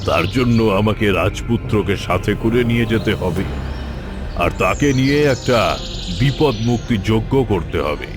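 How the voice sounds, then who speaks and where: A man voicing a scheming, vengeful tantric sorcerer in a cartoon fairy tale, boastful and theatrical in his deliberate delivery. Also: fairly low pitch, lively intonation, over music, natural voice. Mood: solemn, persuasive.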